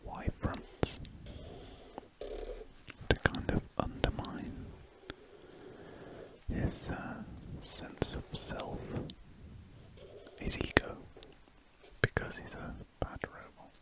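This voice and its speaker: Whispering British man, breathy ASMR. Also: very slow, pauses often, rough recording. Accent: British English. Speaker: ASMR artist. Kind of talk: ASMR, whispered casual rambling while drawing. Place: small warm room at a desk, window shut. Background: pen scratching on paper.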